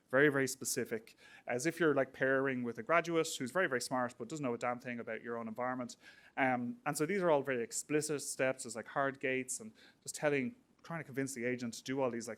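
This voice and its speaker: A man with a mid-pitched voice presents at a technical meetup. He talks informally, as if explaining to colleagues. His delivery is conversational and a little halting, full of small verbal fillers. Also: a bit bright. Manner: conversational, hesitant with frequent "like" and "you know". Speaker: tech meetup speaker. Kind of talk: informal technical conference talk.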